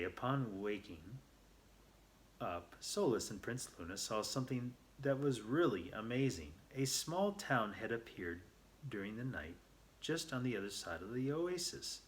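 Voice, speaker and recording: A man's voice: medium pitch, animated, a little slow. A man reading a children's story aloud in an earnest, unpolished way, stumbling now and then. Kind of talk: read-aloud narration.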